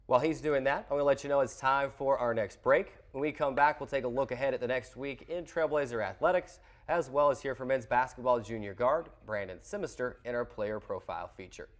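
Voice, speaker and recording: A man's voice: mid-range voice, fairly quick, few pauses. An American man hosting a sports show, presenting and chatting with a guest.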